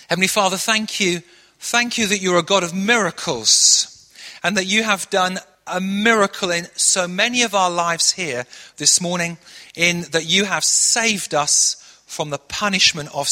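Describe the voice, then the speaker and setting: A middle-aged man from Manchester preaching to his church in a high, forceful voice with a sharp edge. He tells stories earnestly and eases into quiet prayer. The sound rings with a long reverb off a big hall. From Manchester, England. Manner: earnest storytelling preacher, shifts into hushed prayer. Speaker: pastor. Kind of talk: sermon.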